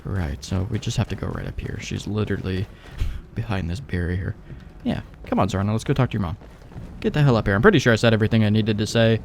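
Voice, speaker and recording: A man's voice: lowish voice, fast, vocal fry, a little hiss or hum. A young man giving rambling, laid-back, sweary let's play commentary as he games. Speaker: gaming YouTuber, let's play host.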